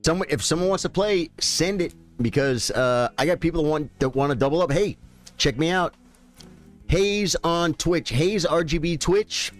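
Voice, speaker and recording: A man's voice: fairly high pitch, moderate pace, soft background music. A man in his late fifties, a chess streamer chatting casually and folksily with his viewers. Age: 50s. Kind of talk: casual live-stream chat.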